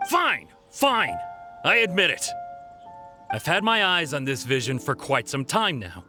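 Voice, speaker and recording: A man's voice: fairly high pitch, sing-song, a little slow, music in the background. An actor playing a boastful young male thief in a video game, flustered and blustering. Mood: grudging.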